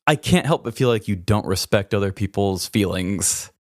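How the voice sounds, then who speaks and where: A man's voice: fairly low pitch, lively intonation, moderate pace, no room sound, studio quality. A man co-hosting a podcast, speaking in the style of a storyteller and casual talker. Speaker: podcast co-host. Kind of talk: scripted true-crime storytelling and casual co-host chat.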